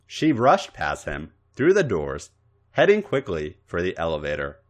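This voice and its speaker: Man, low voice, story narration, very animated intonation, dramatic delivery. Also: measured pace. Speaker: podcast host reading fan fiction. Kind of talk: story narration with acted dialogue.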